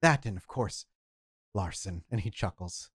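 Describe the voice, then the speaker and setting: Lively game master narrating a scene. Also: low-pitched, unhurried, slightly rough, no echo, clean studio sound.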